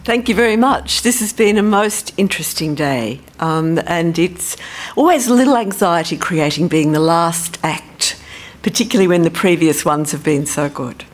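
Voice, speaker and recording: Australian woman, low voice, conference speaker, relaxed and chatty. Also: normal speed. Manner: relaxed, self-deprecating, thinking aloud with fillers. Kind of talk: conference presentation.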